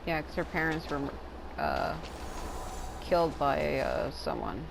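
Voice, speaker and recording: Lowish-voiced woman gamer over loud noise. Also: wildly swooping pitch, takes it slowly, gravelly. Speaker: gaming streamer. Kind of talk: casual game playthrough with dialogue read aloud. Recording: loud noise and game ambience behind.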